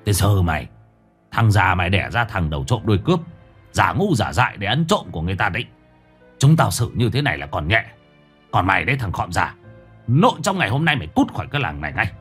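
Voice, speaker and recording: A man's voice: on the low side, very animated, a bit bright, husky, soft background music, heavily acted. A man voicing a coarse, threatening male villager who rattles off insults and orders. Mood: menacing scorn. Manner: rapid, coarse, contemptuous.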